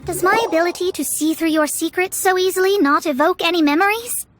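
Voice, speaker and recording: A woman's voice: very high voice, a little fast. A woman voicing a proud young female astrologist in a video game, speaking in a formal, theatrical, haughty way. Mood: imperious. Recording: music behind the voice.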